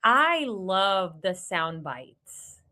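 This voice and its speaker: A woman's voice: medium pitch, big pitch swings, extremely slow, pushed and bright. A woman from Puerto Rico hosting a podcast, chatting casually and reacting eagerly, sometimes losing her train of thought. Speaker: podcast host. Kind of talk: casual interview chat.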